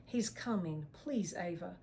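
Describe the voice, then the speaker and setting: A woman's voice: lowish voice, expressive, a little slow, vocal fry, dark tone, a little hiss or hum. A woman narrator voicing an injured young man urging his companion to flee. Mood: pleading. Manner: urgent, pleading, breathless. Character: wounded protective young man, weakening.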